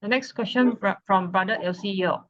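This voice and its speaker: A woman's voice: medium pitch, brisk. A woman acting as moderator, reading submitted questions aloud to a teacher. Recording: dull lo-fi sound.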